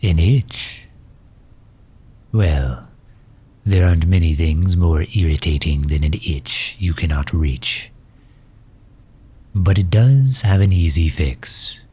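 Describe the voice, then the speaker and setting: Man acting a very deep, husky, doting villain. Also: a little mellow, exaggerated voice. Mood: gentle concern. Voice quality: husky, breathy low voice. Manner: formal, solicitous, unhurried. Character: doting megalomaniac villain, formal, gently tending injured nemesis. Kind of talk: ASMR roleplay, intimate acting.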